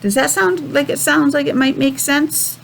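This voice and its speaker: An American woman teaching young children a simple lesson in a sing-song, questioning way with pauses. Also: sits high, vocal fry, a little hiss or hum. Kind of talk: children's calendar and weather lesson.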